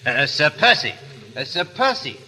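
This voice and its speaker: An actor in a 1930s radio drama playing a nervous, deferential manservant, hesitant and very polite. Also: male voice, a little high, sing-song, moderate pace, bright, forceful tone, heavily acted. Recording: echoing old radio recording, faint music.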